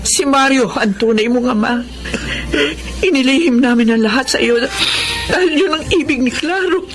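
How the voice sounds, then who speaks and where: A woman playing an anguished middle-aged wife and mother in a highly emotional Filipino radio drama style. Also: normal speed, soft background music, close to an everyday voice, poor audio. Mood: pained, confessing. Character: anguished wife and mother, newly widowed, with a secret.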